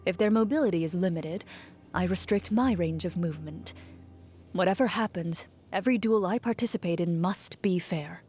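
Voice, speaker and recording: A woman playing a composed, dutiful young female champion duelist in a video game, speaking in a formal, measured, reserved way. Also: on the low side, a little mellow, breathy edge. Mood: stern, matter-of-fact. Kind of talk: video game character voice lines, reflective monologue. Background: music under the voice.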